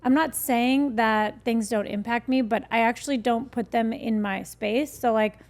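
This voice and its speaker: A woman speaks as a guest in a relaxed interview, talking candidly and loosely with many fillers. Her voice sits a little high. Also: moderate pace. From United States. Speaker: guest, skincare founder. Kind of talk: casual interview chat.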